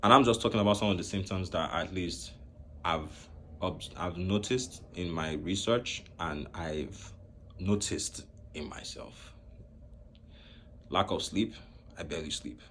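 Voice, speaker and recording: A young man from Nigeria speaking to camera in a slow, reflective way, pausing often between phrases. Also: sits low, a bit bright, slight background noise. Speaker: vlogger. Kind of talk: personal talk to camera.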